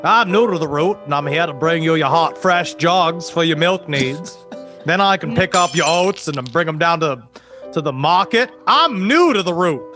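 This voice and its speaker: A man's voice: a little high, animated, moderate pace, over music, natural voice. A man doing a hokey cheerful milkman. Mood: folksy. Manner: hokey, jolly salesman patter. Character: folksy cheerful male milkman.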